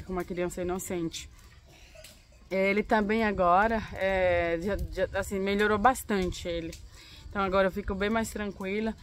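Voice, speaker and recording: A young woman in her twenties vlogging to the camera outdoors in her backyard. She is hoarse and congested with a cold, and talks softly and unhurriedly, pausing often and adding tag questions. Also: mid-range voice, a little hiss or hum. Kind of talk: casual talk to camera. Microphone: phone or camera close up.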